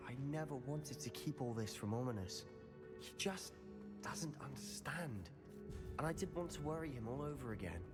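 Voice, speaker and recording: Guy acting stubborn teen wizard, earnest, pitch jumps around, long reverb, music under it. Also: animated, moderate pace, lightly acted. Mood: regretful. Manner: earnest, insistent. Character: determined, stubborn teenage schoolboy wizard. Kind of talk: dramatic video game voice acting.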